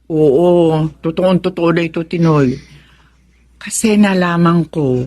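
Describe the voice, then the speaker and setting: A middle-aged wife in a radio drama. She sounds like a reformed neighborhood gossip, sheepish and eager to reassure, with a low voice for a woman. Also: slow, dark tone. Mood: sheepish, reassuring. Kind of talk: Tagalog radio drama acting.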